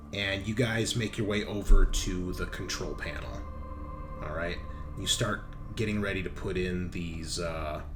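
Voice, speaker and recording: A man's voice: on the low side, fairly bright tone. A man running a tabletop roleplaying game as game master, narrating casually and bantering with plenty of swearing.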